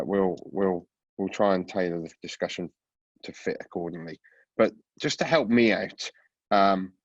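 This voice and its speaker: A man with a cold, sounding congested, presenting a product webinar with a relaxed manner and many fillers. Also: lowish voice, no echo, dull audio. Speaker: product coach giving a webinar talk. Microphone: video call.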